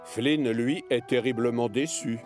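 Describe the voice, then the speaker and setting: A man's voice: mid-range voice, fairly even intonation, measured pace, a little mellow. A man narrating a scripted children's story as a storyteller. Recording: music behind the voice.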